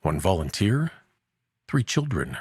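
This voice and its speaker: A man narrating a scripted story in a dramatic, measured way with a gravelly, husky voice. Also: low-pitched, very animated, darkish tone, dry recording, clean studio sound.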